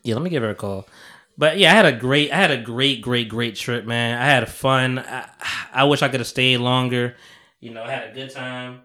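Laid-back podcast host, man in his thirties, smooth clear voice, slangy rambling chat. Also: medium pitch, normal speed, sharp tone. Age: 30s. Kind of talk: casual chat.

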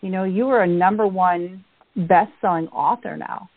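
A lowish, husky woman hosts the interview and praises her guest effusively. The sound is dry and thin, as if over a phone line. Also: steady tone, normal speed, dry recording. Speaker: podcast host. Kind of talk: interview hosting.

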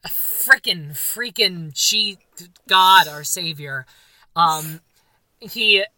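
A young man with a very high voice, co-hosting a casual chat and joking in a campy, playfully dramatic way. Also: expressive, very slow, sharp tone, no room sound. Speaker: podcast co-host.

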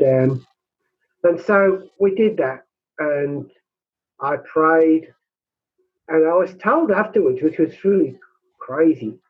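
A man who works as a rural minister, talking in an interview with a high, squeaky voice, halting and restarting often. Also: slightly rough, narrow, tinny audio. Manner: halting, many restarts and repeated words. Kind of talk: conversational interview.

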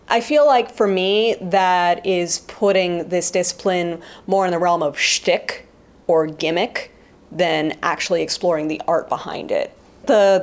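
A woman's voice: on the low side, darkish tone. A woman talking reflectively in an interview, explaining her creative views in a thoughtful way. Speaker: interview guest, sound effects artist and writer. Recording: music playing behind the voice. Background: music.

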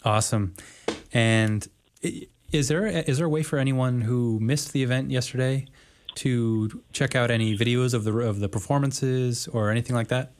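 A man hosting a community radio show, interviewing a guest in a measured, hesitant way. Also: medium pitch, slight background noise. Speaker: radio host. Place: radio studio.

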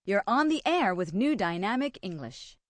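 A woman's voice: mid-range voice, sing-song, normal speed, dry recording. An American woman hosting a radio show in scripted English-teaching dialogue.

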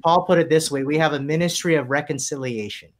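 A man's voice: a little high, quick pace. Emphatic male pastor on a panel. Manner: emphatic, preacherly. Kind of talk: panel discussion.

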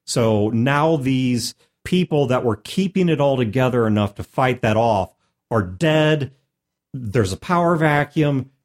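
A man talks as a podcast host and game master, casually recounting a tabletop session. His delivery is animated and emphatic, with pitch that moves around a lot as he builds the story. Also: unhurried.

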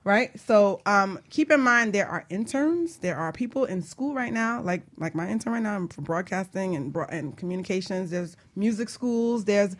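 Animated woman hosting a talk show. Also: medium pitch, moderate pace, hardly any pauses. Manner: animated, playful, direct. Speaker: radio show host. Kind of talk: casual talk-show chat.

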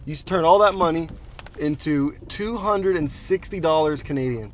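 Excitable young man, fairly high voice. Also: moderate pace. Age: young adult. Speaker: student making an audio travel log. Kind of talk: casual chat and field commentary. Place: on location during a road trip. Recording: field recording, music and traffic behind.

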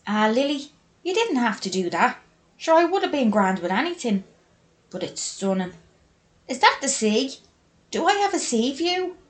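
A woman's voice: fairly high pitch, animated, normal speed, natural voice. A woman narrator puts on a playful Irish woman from an audio story. She has a Dublin accent and a chirpy, teasing way of talking. She comes across as down-to-earth and cheeky. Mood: touched, excited.

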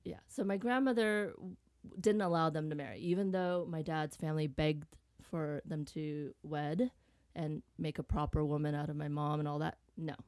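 Low-voiced woman telling personal stories. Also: steady tone, moderate pace, a little mellow, dry recording, studio quality. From Vietnam. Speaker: podcast guest. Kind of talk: casual personal storytelling.

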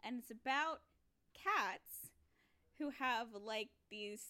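A woman's voice: on the high side, slow pace, pushed and bright. A young woman co-hosting a podcast, telling a rambling story in casual chat. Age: young adult. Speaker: podcast co-host.

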